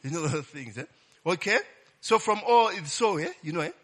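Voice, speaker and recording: A male preacher teaches from scripture in a loose, conversational way. His voice is bright and slightly high, swinging widely in pitch as he tosses "eh?" and "Hallelujah" to the congregation. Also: expressive, moderate pace. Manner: call-and-response, frequent "eh?" tag questions; exclaims "Glory be to God" and "Hallelujah". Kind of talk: casual Bible teaching sermon.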